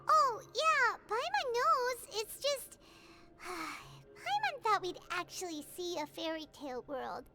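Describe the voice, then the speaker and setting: A woman voicing a small, excitable, childlike fairy companion in a video game, speaking theatrically and grandly about herself. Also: very high voice, very noisy, heavily acted. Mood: mildly let down. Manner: speaks of herself in third person, playfully grandiose. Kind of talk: animated video game acting. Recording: loud game music behind. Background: game music.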